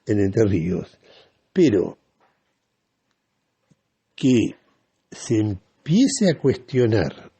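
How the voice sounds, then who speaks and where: Male Argentine radio commentator. Unhurried, deliberate and didactic, with animated swings in pitch. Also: slightly rough. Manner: didactic, deliberate, rhetorical questions.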